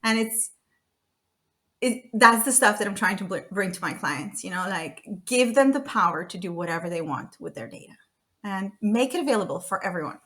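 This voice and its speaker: A woman in her thirties, from Romania, chats as a podcast guest with a bright, forceful tone. She talks freely, stopping for fillers and restarting her sentences, and laughs often. Her pitch sits in the middle range. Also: lively intonation, normal speed. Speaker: guest, data consultant. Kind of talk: casual podcast chat.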